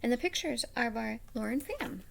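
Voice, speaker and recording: A woman reading a children's story aloud expressively, with dramatic pauses and hushed asides. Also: fairly high pitch, lively intonation, vocal fry, slight background noise. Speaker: storybook reader.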